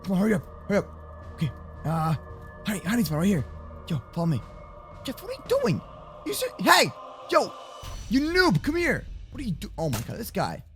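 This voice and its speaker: A young adult man improvising a children's block-game roleplay with excitable, exaggerated, slangy banter. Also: high-pitched, a little fast, long pauses, faint music behind. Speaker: host of kids' gaming roleplay channel.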